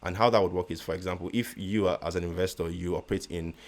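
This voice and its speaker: A man from Nigeria with a Nigerian accent and a very deep voice, talking as an interview guest in a measured way, with restarts and a slight stutter. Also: fairly even intonation. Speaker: guest, real estate investor. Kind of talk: casual interview conversation.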